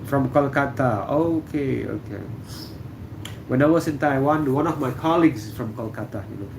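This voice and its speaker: Middle-aged man from Indonesia, gravelly voice, casual halting livestream chat, faint room hum behind. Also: mid-range voice, low-quality recording. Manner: relaxed, halting, many fillers. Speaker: livestream host. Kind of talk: casual livestream chat reading viewer comments. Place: room indoors.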